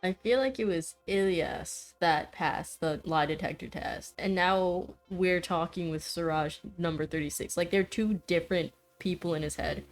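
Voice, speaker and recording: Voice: medium pitch, normal speed, hardly any pauses. Casual gameplay commentator, streamer style, over background music.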